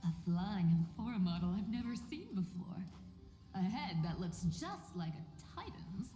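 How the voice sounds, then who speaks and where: A woman's voice: fairly low pitch, lively intonation, a little slow, dark tone. A woman voicing a playful, self-possessed young woman in a fantasy video game, teasing and theatrical. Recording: echoey reverb with music behind.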